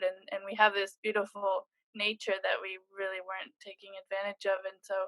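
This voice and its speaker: A woman's voice: mid-range voice, pushed and bright, no room sound, poor audio. A woman from Hawaii sharing her observations in an online panel discussion over a video call, a little hesitant. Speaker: participant.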